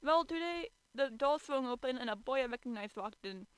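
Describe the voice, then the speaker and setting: A woman's voice: high voice, lively intonation, brisk, clean tone, dry recording, poor audio. A woman doing an amateur dramatic reading on a stream, stumbling over words and theatrically exasperated. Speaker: streamer reading fan fiction aloud.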